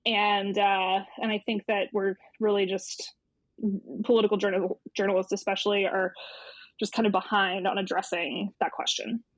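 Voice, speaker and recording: A woman's voice: medium pitch, little pitch movement, moderate pace, dry recording, rough recording. A woman talking conversationally as an editor in an interview, thoughtful and often circling back to rephrase. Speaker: magazine editor being interviewed. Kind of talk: reflective conversational explanation.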